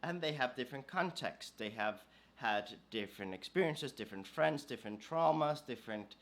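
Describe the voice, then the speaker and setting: A man hosting a podcast, hoarse from a cold, talking casually and hesitantly with many fillers and restarts. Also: mid-range voice, expressive, moderate pace, fairly bright tone. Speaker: podcast host.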